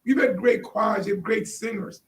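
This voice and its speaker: A man's voice: high voice, fairly even intonation, moderate pace. A man talking casually in a panel discussion, stressing his points by repeating phrases. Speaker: podcast panel host. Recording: roomy, distant from the mic.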